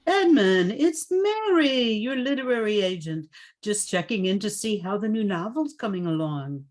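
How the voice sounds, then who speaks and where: A woman's voice: mid-range voice, lively intonation, a little mellow, natural voice. A woman playing a saucy, insistent young literary agent in an amateur radio play, blunt and colloquial. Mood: firm, saucy. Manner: brisk, no-nonsense, colloquial.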